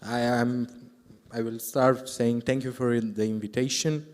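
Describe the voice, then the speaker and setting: A man with a Romanian accent giving live conference remarks as a government official, in halting, deliberate phrases. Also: mid-range voice, level tone. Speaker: government official speaking on a panel. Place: large indoor conference hall. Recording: echoey hall sound system.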